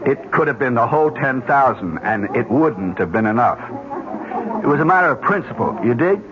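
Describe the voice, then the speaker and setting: A man's voice: mid-range voice, animated, moderate pace, a crowd in the background, close to an everyday voice. A man playing a cynical, scornful American corporate manager in a radio drama, talking in a slangy, sardonic way. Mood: incredulous, resentful. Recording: muffled old radio, faint noise.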